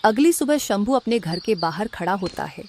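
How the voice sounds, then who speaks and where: A woman's voice: mid-range voice, expressive, moderate pace, a little mellow, slight background noise. A woman reading scripted narration for a story as its narrator.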